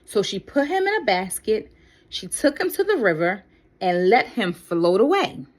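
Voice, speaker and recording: A woman reading a children's Bible story aloud as a storyteller, with deliberate, careful and expressive phrasing. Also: medium pitch.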